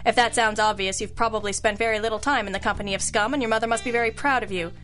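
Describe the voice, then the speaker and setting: Woman doing a tough sarcastic girl detective, kinda high voice, old school radio play, faint music. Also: fairly even intonation, rapid-fire, fairly bright tone, lightly acted. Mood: wry narrating. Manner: sardonic, knowing. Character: wry, tough girl detective in hard-boiled noir. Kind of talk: old-time radio detective drama acting and narration.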